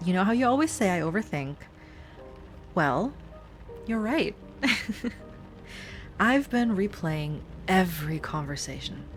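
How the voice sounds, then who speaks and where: A woman playing an obsessive, sweetly pleading ex-girlfriend in an intimate audio roleplay, speaking softly and haltingly. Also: medium pitch, expressive. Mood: wistful, pleading. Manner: soft, halting, wistful, with sighs and nervous little laughs. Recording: music under the voice.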